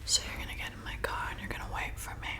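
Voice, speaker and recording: A woman playing a flirty, commanding vampire bartender in an ASMR roleplay, softly coaxing and hypnotizing in a breathy whisper. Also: lightly acted. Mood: seductive, predatory. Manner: slow, soothing, coaxing, deliberate.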